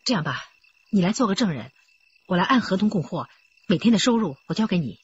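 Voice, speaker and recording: A woman's voice: medium pitch, sing-song, brisk, breathy edge. An actress playing a remorseful, urgent village woman who pleads in a radio drama. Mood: businesslike. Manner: pleading, emotional. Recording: dull lo-fi radio play audio.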